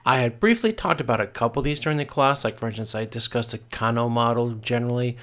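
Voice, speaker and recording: A man giving a recorded lecture as a course instructor, explaining step by step in a halting way and often correcting himself. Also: medium pitch, a bit bright.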